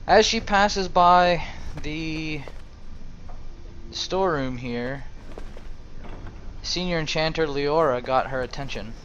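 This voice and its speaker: A man narrating and commenting on his video game role-play in a halting, thoughtful way. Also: on the high side, a bit bright, highs cut off, a little hiss or hum.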